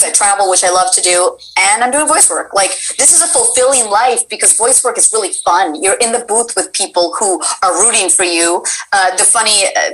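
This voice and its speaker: Woman, voice actress, casual and animated, bright voice, faint background noise. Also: mid-range voice, low-quality recording. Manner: chatty, many fillers, animated. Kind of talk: casual interview chat.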